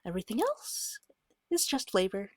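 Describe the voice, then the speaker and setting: A woman speaking softly and breathily, often near a whisper, gently and patiently guiding a beginner as game master in an ASMR roleplay. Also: mid-range voice, huge pitch swings, no room sound. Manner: gentle, patient, reassuring, unhurried. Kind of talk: soft-spoken ASMR roleplay tutorial.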